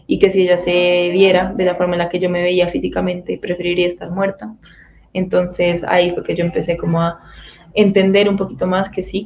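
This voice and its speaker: A woman's voice: on the low side, gravelly, darkish tone, slight background noise. A woman in her twenties from Bogotá with a Colombian accent, earnestly telling her personal faith story with frequent fillers and restarts. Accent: Bogotá Colombian Spanish. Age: 20s. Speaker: testimony speaker.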